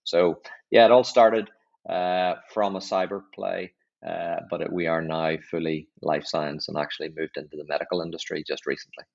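A middle-aged man with a Northern Irish accent, explaining things in a measured, conversational way as an interview guest on slightly dull, lo-fi audio. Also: low-pitched, level tone, gravelly. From Northern Ireland. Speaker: chief executive, podcast guest.

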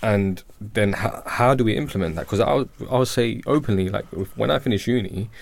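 A man's voice: lowish voice, moderate pace, creaky. A man in his thirties co-hosting a radio discussion, thinking aloud and asking questions with some hesitation. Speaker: radio show co-host. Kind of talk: casual panel discussion.

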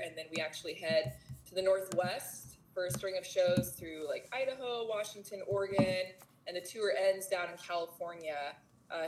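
A woman's voice: lowish voice, noisy background. A woman musician being interviewed, chatty, with many fillers. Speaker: musician guest. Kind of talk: casual interview chat.

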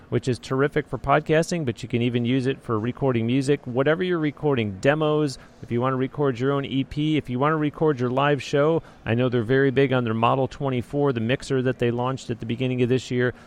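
A man with a deep baritone voice, hosting a podcast interview in a measured, polished way. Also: steady tone. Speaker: podcast host. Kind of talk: interview and host-read ad. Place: remote location. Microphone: handheld recorder with external mics.

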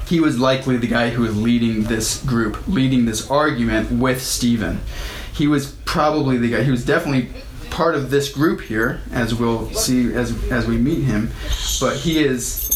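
A man's voice: medium pitch, moderate pace. A man teaching a Bible lesson as a pastor, explaining verses in a conversational way and lifting his voice when he reads scripture aloud. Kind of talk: sermon teaching through scripture. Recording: echoey room with faint ambience.

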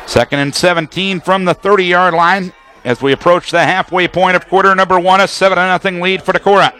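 A man's voice: sits high, steady tone, fairly quick, pushed and bright, clear, resonant tone. An American man calling live football play-by-play for radio, describing formations and action in a detailed running commentary.

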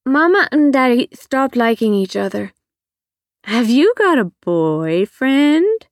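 A woman's voice: sits high, sing-song, slow, no echo, clean studio sound, full character voice. A woman voicing a chatty, blunt little girl of about five in an audiobook, full of childlike questions. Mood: inquisitive, blunt. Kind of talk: audiobook character voice.

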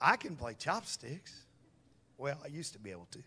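A man's voice: medium pitch, sing-song, normal speed, sharp tone, slight background noise. A man preaching a sermon, emphatic and calling on the congregation to answer back. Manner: emphatic, calls on the congregation to respond.

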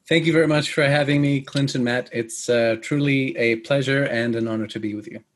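A man in his thirties from Australia, with an Australian accent that sounds close to British, speaking as a guest on an interview show, measured and pausing often. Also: mid-range voice, fairly even intonation. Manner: measured, with many pauses and fillers. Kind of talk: podcast interview.

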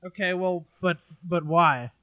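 A man chatting casually as a podcast co-host, sweary, sarcastic and animated in manner. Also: high voice, a little slow, dull audio. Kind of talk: casual comedic group chat.

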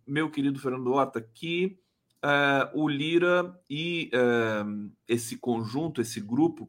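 A man hosting a live political talk show who jokes with his guest and pauses on fillers as he sets up topics. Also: on the high side, a bit bright. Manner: jokey, playful banter, pauses with fillers. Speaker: show host.

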